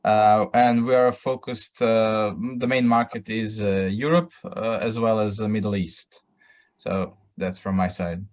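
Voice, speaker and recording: A man from Serbia introducing himself on an online conference panel over a video call, hesitant and halting with fillers. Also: lowish voice, flat delivery, darkish tone.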